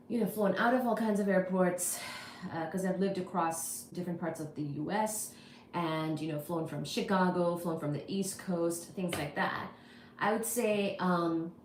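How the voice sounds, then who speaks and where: A woman's voice: fairly low pitch, darkish tone, roomy sound, slight background noise. A woman from India, hosting a casual livestream, talking through practical pointers with lots of "you know" and "kinda". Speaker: livestream host. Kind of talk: casual livestream chat answering viewers.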